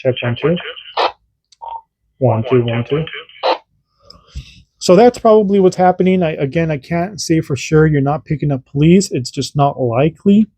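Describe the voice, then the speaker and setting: A man's voice: on the high side, animated, moderate pace, pauses often, dark tone, breathy edge, no echo. A man and parent, hosting a casual hands-on radio demo and explaining in an informal, rambling way that often restarts sentences. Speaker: radio hobbyist host.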